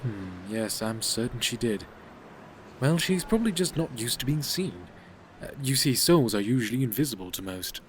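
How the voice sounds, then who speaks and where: An actor playing a polite, unflappable, eccentric reaper in an audio drama, speaking formally and with fussy precision. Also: male voice, medium pitch, expressive, slight background noise, close to an everyday voice. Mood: calm, fussy. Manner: formal, measured, fussily precise.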